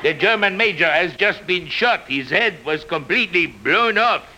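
A man doing a breathless, excitable police captain. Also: high voice, pitched above the performer's own voice, heavily acted. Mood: alarmed. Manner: hurried and breathless. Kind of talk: old-time radio parody.

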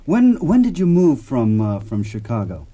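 A man hosting a conversational radio interview, enthusiastic, with halting questions. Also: mid-range voice, huge pitch swings, warm tone.

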